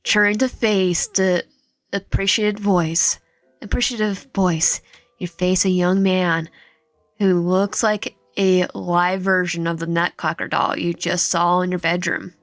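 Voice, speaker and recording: A woman's voice: fairly low pitch, steady tone, a little slow, dry recording. A woman reading a visual novel aloud with casual asides, stumbling over words now and then. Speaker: video game streamer. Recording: music behind the voice. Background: music.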